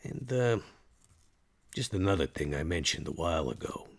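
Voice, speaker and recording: Man doing a gravelly, kindly old-school sewer vampire. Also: very deep voice, lively intonation, normal speed, heavily acted. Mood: gentle, fatherly. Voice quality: gravelly, husky. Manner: folksy, hesitant, reassuring drawl.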